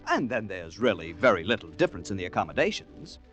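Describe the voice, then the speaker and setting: An actor playing a prim, eager-to-please store clerk, fussy and hesitant, narrating and acting in 1940s radio drama style. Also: male voice, sits low, big pitch swings, fast, a bit bright, soft background music. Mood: chatty, smitten.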